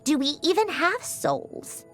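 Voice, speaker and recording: An actress playing a curious, haughty mechanical puppet researcher in a video game, in theatrical, musing monologues. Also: female voice, very high voice, lively intonation, takes it slowly, fairly bright tone, soft background music, exaggerated voice. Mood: pensive, melancholy.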